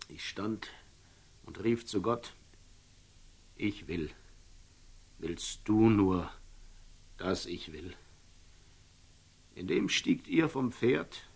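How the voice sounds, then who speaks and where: An actor playing a grave, pious, devoted father in a classical radio drama, declaiming measured verse. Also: on the low side, fairly bright tone, breathy edge, exaggerated voice. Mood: grieving, hushed.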